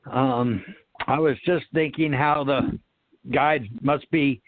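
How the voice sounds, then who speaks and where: A man's voice: medium pitch, dry recording. A man sharing casual spiritual reflections in a group talk, hesitant and searching for his words. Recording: dry, tinny phone-like sound.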